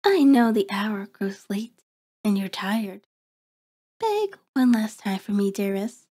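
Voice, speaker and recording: A woman playing a seductive, predatory vampire in an ASMR roleplay, soft and breathy with a coaxing, commanding manner, often slipping into a whisper. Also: mid-range voice, animated. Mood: satisfied, possessive. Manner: slow, deliberate, coaxing, controlling.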